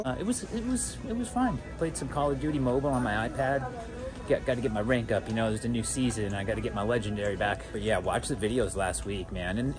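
An American man chatting casually to his viewers as a collecting vlogger, in a laid-back, slangy, rambling way. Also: fairly low pitch. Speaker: toy car collecting vlogger.

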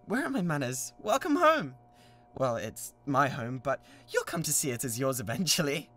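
A man's voice: mid-range voice, fairly bright tone. A British man playing an obsessive young yandere kidnapper in a dramatic ASMR roleplay, possessive and menacing. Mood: giddy, doting. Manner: possessive, menacing, swinging from tender coaxing to frenzy.

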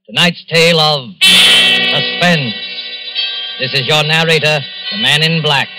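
Male 1940s radio announcer, formal, dramatic, slightly high voice, music underneath. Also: a little slow, rough recording. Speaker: narrator and announcer.

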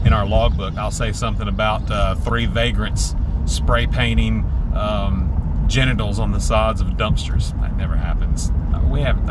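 A man, chatting casually and jokingly in a self-mocking, rambling way to his phone in a car. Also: lowish voice. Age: 40s. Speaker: vlogger. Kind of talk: casual chat to camera. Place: inside a car. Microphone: phone at arm's length. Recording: noisy car interior, street ambience.

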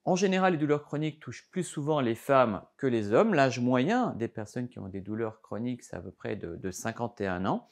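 A man's voice: mid-range voice, animated, fairly quick, hardly any pauses. A middle-aged man, a doctor, explains a health topic to camera in a studio, gesturing as he talks, with frequent fillers and restarts. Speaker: doctor giving a health lesson. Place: studio before a green backdrop.

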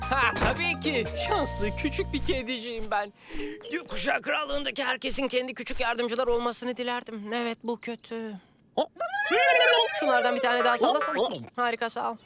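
An actor playing an excitable, self-pleased talking cat in a children's cartoon dub, pleading and gushing theatrically. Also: mid-range voice, normal speed, close to an everyday voice. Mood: smug, delighted. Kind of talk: animated children's cartoon dubbing. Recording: loud music behind the voice.

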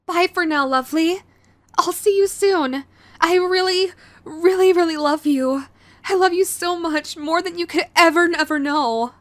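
A woman's voice: very high voice, a little slow, dry recording, voice pushed up. A woman playing a sweet, clingy, anxious young yandere girlfriend in a romantic audio roleplay, with a stammering, gushing manner. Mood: lovestruck. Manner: stammering, breathy gushing, apologetic.

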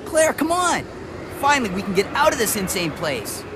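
Very high-pitched brash teenage boy. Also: normal speed, noisy background. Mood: brash, impatient. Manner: excitable, strained, melodramatic. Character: brash, impulsive teenage boy, horror game sidekick. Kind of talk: video game cutscene acting.

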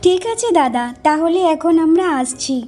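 A woman's voice: very high-pitched, normal speed, mellow. A woman voicing an envious, greedy village wife in a fairy-tale cartoon, with a complaining, questioning manner. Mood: probing. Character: envious, greedy younger village wife, curt and self-serving.

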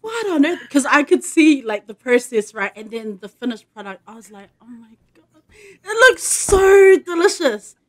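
Upbeat New woman host, higher voice. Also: very animated, moderate pace, a bit bright. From New Zealand. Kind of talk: casual video-call interview. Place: home room with dark curtain, indoors.

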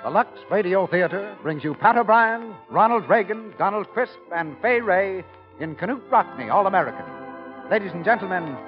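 A man with a high voice declaims as a 1940s radio announcer over loud music. The old recording is dull and muffled. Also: animated, moderate pace.

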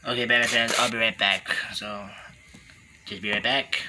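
A man's voice: fairly low pitch, steady tone, moderate pace. A young man hosting a reaction video, chatting casually and laid-back. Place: small indoor room. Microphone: headset. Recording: webcam audio with background noise.

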